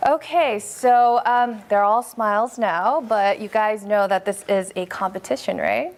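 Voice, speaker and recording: A woman's voice: medium pitch, a little slow. A woman hosting a TV show in English, bubbly and upbeat, laughing often. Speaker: host.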